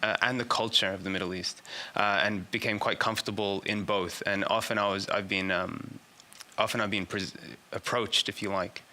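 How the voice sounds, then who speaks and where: A man who grew up between England and Saudi Arabia, answering questions in an interview. His voice sits fairly low with a very bright, tense edge. He talks haltingly and restarts his sentences often. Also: steady tone. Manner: halting, full of restarts and fillers. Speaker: business strategist.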